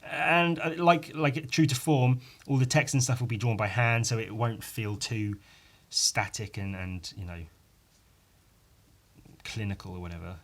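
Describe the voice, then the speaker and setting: A man with a croaky voice rambling casually on his own as a podcast host, informal and sweary, poking fun at himself and tacking questions onto his remarks. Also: on the low side, a little slow, fairly bright tone. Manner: sweary, informal, self-deprecating, with tag questions. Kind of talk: casual rambling solo chat.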